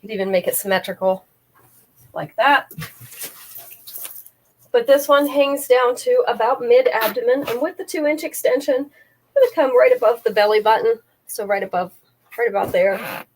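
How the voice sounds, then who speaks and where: Woman, slightly high voice, stuffy nose, chatty live seller, detailed descriptions, close camera mic. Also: normal speed, long pauses, darkish tone, a little hiss or hum, poor audio. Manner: chatty, careful item-by-item descriptions.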